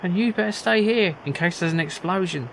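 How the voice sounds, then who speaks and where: A blunt, mocking male factory worker from an adventure game, put on by a man. His voice is pushed high and loud, exclaiming at whoever is in front of him, over clear background noise. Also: brisk, rough recording. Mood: alarmed. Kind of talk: amateur voicing of adventure game dialogue.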